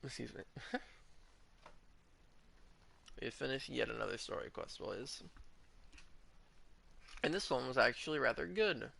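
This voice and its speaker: A man's voice: medium pitch, animated, measured pace, gravelly, long pauses, noisy background. A young man doing casual live gaming commentary, slangy and offhand, sometimes muttering. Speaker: video game streamer. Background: game music.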